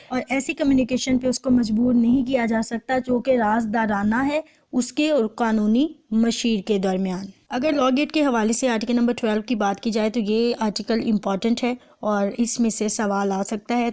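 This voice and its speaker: A woman's voice: on the high side, steady tone, moderate pace, few pauses, warm tone. A woman with a Pakistani accent lecturing in an instructional way, explaining step by step and restating each point. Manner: instructional, repeats and rephrases points. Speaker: law lecturer. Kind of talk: explanatory lecture, reading clauses and translating them phrase by phrase.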